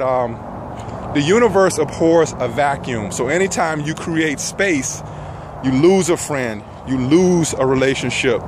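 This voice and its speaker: A middle-aged man giving an earnest motivational talk in measured phrases with frequent pauses, walking outdoors with a phone at arm's length. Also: fairly high pitch. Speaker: self-help vlogger. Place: outdoors on a path among trees. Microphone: phone held at arm's length. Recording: noisy outdoor phone recording, traffic ambience.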